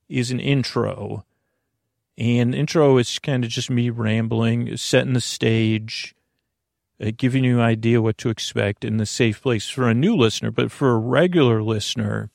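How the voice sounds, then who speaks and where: A man with a heavily creaky, medium-pitched voice hosts a bedtime podcast. He rambles and digresses, starting phrases over and running words together. The sound is dry, with no room around the voice. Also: dry recording. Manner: digressive, halting, repeats and smushes words. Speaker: podcast host.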